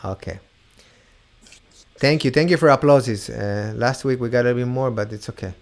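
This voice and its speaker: A man's voice: mid-range voice, creaky. A man with an Argentinian accent, partly Hebrew-tinged, hosting a playful mentalist show over a video call, teasing guests and drawing out his reveals. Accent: Argentinian with Hebrew influence. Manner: teasing, upbeat, draws out reveals slowly.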